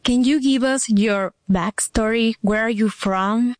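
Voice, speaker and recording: A woman's voice: on the high side, a little slow, no echo, studio quality. A woman co-hosting and interviewing on a student podcast, enthusiastic, with short questions. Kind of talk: scripted presenting and interviewing. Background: music.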